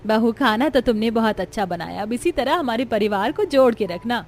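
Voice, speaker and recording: Woman playing a kindly high-voiced cartoon mother-in-law. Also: animated, brisk. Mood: approving. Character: kindly middle-aged mother-in-law, welcoming and attentive. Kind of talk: Hindi cartoon story voicing.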